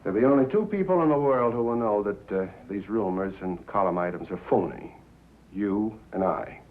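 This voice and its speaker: An actor playing a scheming, paternal network president in a 1950s film drama, condescending, with deliberate, hesitant pauses. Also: male voice, on the low side, lively intonation, narrow, tinny audio, lightly acted. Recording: thin, boxy old film soundtrack.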